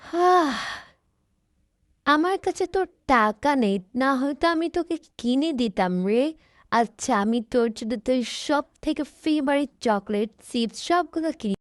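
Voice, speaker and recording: A woman's voice: sits high, animated, a little slow, no room sound, clean studio sound. A woman voicing a caring mother who coaxes and soothes her young daughter. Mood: coaxing. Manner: coaxing, motherly.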